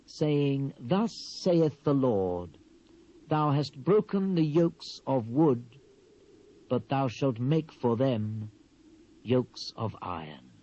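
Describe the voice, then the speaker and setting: A dull, muffled cassette recording of a solemn British prophet in a Bible drama. His voice is dark and mellow at a middling pitch. He declaims God's word gravely, drawing out his phrases with measured weight. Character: solemn male Hebrew prophet proclaiming God's judgement. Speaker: actor reading dramatised scripture. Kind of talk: dramatised King James Bible reading.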